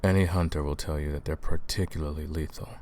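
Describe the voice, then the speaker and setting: A man playing a centuries-old vampire hunter in a roleplay audio drama, stern and commanding, speaking deliberately and questioning the listener. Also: extremely low pitch, steady tone, a little mellow. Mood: probing, dry.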